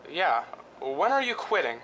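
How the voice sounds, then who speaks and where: An actor playing a restless, scheming husband of a film star in a radio drama, hesitant and conspiratorial. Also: male voice, a little high, big pitch swings, slow, a bit bright, a little hiss or hum, heavily acted, poor audio. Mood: put-upon, annoyed.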